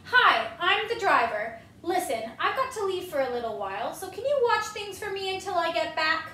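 A woman voicing a friendly, brisk storybook bus driver in a chatty, instructing way. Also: very high voice, very animated, pushed and bright, far from the mic, voice pushed up, full character voice. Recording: roomy home recording, some noise.

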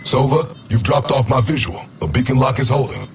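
An actor playing a stern military combat robot in a game, giving clipped commands over radio with a robotic filter. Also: male voice, medium pitch, brisk, gravelly, over music. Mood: stern, commanding. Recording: noisy military radio channel.

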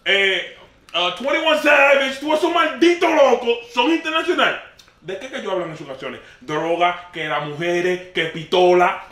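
A Dominican man with a very high, bright voice argues in a casual group talk. He is emphatic and slangy, hammering his point by repeating it. The sound is roomy and echoing. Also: lively intonation, normal speed. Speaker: talk show participant. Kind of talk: animated casual group debate. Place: small dim lounge indoors. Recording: roomy echo, far from mic.

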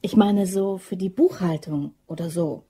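A woman's voice: lowish voice, unhurried, dark tone, breathy edge, no room sound, heavily acted. A woman playing a teasing, flirty, wry office worker in an audio crime drama. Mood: wry, chatty. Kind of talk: German radio play dialogue.

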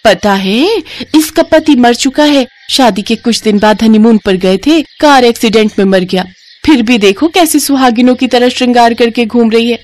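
A woman's voice: fairly high pitch, normal speed, darkish tone, voice pushed up, lightly acted. A woman voicing a spiteful gossiping neighbour woman in a cartoon story, sneering and judgmental. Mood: scornful.

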